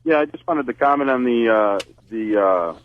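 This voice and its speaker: A man's voice: mid-range voice, normal speed, phone-quality sound. A man calling in to a radio show by phone, giving his opinion in a plain-spoken, earnest, conversational way. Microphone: phone call.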